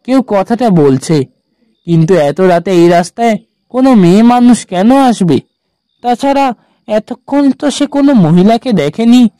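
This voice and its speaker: A man narrating a scripted horror story in a measured, suspenseful manner. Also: high-pitched, animated, dark tone, no echo.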